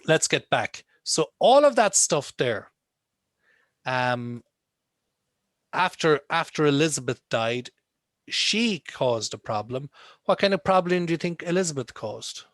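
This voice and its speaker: A man's voice: on the high side, very animated, unhurried, dry recording. An Irish man teaching English in a chatty, joking way, wandering into asides during an online class. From Ireland. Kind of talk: casual online class lecture. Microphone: video call.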